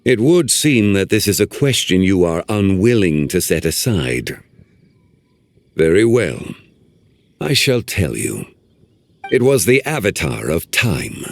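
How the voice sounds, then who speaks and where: A deep, solemn ancient guardian. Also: male voice, sing-song, slight background noise, exaggerated voice. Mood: grave. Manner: formal, measured, old-fashioned phrasing. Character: ancient calm male guardian, formal and measured. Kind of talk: video game voice acting.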